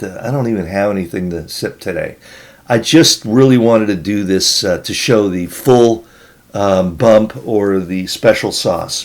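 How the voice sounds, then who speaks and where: A man with a hoarse voice from being sick, casually walking through a photo edit step by step as a tutorial host. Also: medium pitch. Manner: casual, rambling, talks through each step. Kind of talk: screen-recorded editing tutorial.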